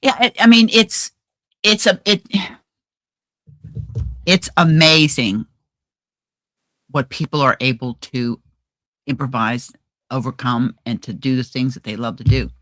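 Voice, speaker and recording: Chatty crafting-stream woman, low bright voice, rambles and restarts. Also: unhurried, pauses often, no echo, rough recording. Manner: chatty, animated, trails off and restarts. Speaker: co-host of a crafting livestream. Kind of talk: casual chat. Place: home livestream.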